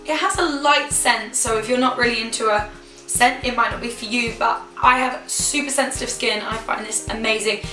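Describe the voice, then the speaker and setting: Hoarse chatty young woman. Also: a little high, pushed and bright. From United Kingdom. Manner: chatty, enthusiastic, repeats words for emphasis. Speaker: beauty vlogger. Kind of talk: casual chat to camera. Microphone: camera mic. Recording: echoey room, camera mic from a distance, faint music.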